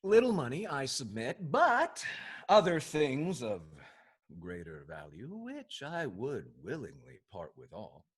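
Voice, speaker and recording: Man playing a roguish, husky, swooping sea captain. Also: medium pitch, wildly swooping pitch, exaggerated voice. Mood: seductive. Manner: theatrical, swaggering delivery with hesitant teasing pauses. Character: roguish rakish sea captain, lusty, boisterous, flirtatious Englishman. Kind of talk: Restoration comedy stage acting.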